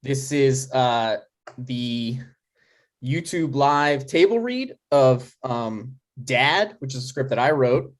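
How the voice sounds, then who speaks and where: Halting man hosting a live stream. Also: medium pitch, lively intonation. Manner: halting, hesitant. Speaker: host and scriptwriter. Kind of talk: casual live stream introduction.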